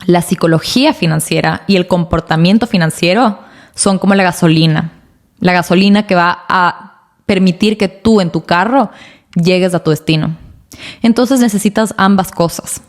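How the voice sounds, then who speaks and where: A woman's voice: on the low side, moderate pace. A woman in her thirties from Ecuador, hosting a podcast and telling a personal story in a chatty way, with frequent fillers and emphatic asides. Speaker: podcast host and financial coach.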